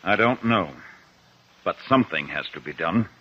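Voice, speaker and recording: An actor playing a stern, protective eye doctor in a 1950s radio drama, blunt and firm. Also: male voice, deep voice, expressive, moderate pace, slightly rough. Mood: grave, concerned. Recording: dull, noisy 1950s radio recording.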